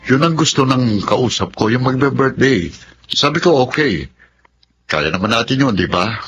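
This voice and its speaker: An actor playing a practical, caring young Filipino events host in a radio drama, speaking in an earnest, conversational way. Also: male voice, medium pitch, expressive, moderate pace, close to an everyday voice. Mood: exasperated, concerned. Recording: dull, noisy recording.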